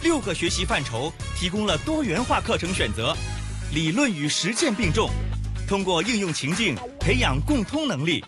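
A woman's voice: lowish voice, big pitch swings, normal speed. A woman announcer reading a scripted public service advert. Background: music.

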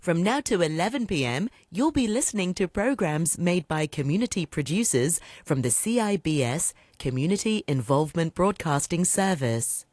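A woman's voice: on the low side, animated, normal speed, hardly any pauses, darkish tone. A woman reading a scripted programme announcement for a radio station.

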